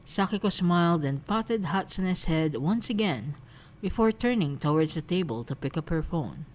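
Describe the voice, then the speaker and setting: A woman narrating a fan fiction story in a soft, unhurried way. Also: fairly low pitch, animated, slight background noise.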